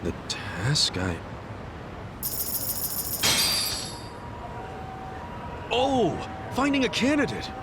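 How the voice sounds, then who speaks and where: An actor playing a brash, blunt, hot-blooded underdog hero in a video game, talking in an excitable, colloquial way. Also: male voice, high voice, unhurried, heavy background noise, exaggerated voice. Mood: puzzled. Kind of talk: expressive video game voice acting.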